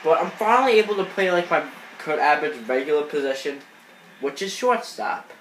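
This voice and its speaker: A man's voice: on the high side, expressive, moderate pace, fairly bright tone. A man with a cold, sniffling as he chats through baseball video game footage, rambling with lots of fillers and restarts. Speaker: gaming channel host. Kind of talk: casual gameplay commentary. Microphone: off-screen voice-over on gameplay footage. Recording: echoey room with faint noise.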